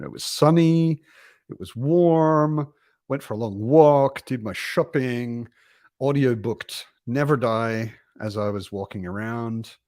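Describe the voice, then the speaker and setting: A man talks unhurriedly in a fairly low voice, drifting from thought to thought with plenty of ums and restarts. He is hosting a relaxed book livestream and answering viewer comments. The sound is close and dry, with no room echo. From Australia. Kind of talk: casual livestream chat reading out viewer comments. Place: home, in Berlin.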